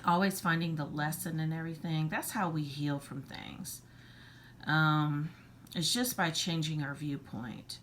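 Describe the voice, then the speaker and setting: Low-voiced hoarse woman reading tarot. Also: steady tone, a little slow, fairly bright tone, slight background noise. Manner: earnest, rambling, reassuring. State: hoarse, losing her voice. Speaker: tarot reader. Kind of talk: casual spoken tarot reading.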